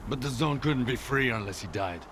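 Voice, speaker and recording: A man's voice: mid-range voice, animated, normal speed, natural voice. An actor playing a hardened middle-aged male stalker, bitter, intense and defiant, in a game cutscene. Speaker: game character. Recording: noisy game ambience.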